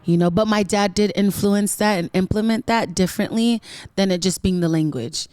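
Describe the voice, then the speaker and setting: A woman from Southern California, a musician guest on a podcast, talking earnestly and at length, often pausing on ums and rising into animated emphasis. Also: mid-range voice, dry recording. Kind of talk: casual podcast interview.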